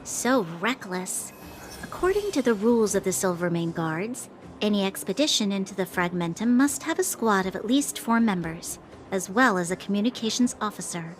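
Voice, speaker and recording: A woman's voice: mid-range voice, expressive, moderate pace, a little hiss or hum, lightly acted. A woman voicing a rule-minded young companion. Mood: reproachful. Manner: reproachful, by the book. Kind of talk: video game voice acting.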